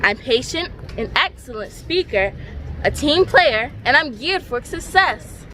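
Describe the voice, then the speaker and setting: Woman presenting to kids, high voice, slow and deliberate, faint outdoor noise. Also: lively intonation, bright, forceful tone, rough recording. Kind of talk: children's educational presenting.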